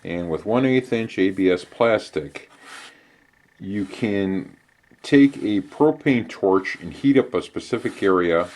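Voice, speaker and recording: A middle-aged man giving a casual hands-on how-to demonstration in an unhurried, conversational way with hesitant pauses. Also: fairly low pitch, animated, darkish tone. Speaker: DIY channel host. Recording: clear background noise.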